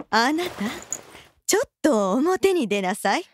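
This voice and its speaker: ボイスドラマで、高慢で命令口調の年上の女性将校を演じる女性。. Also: female voice, sits high, full character voice. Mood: haughty, irritated. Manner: haughty, commanding, ladylike speech. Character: imperious older female military officer, haughty and impatient. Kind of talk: Japanese voice drama acting.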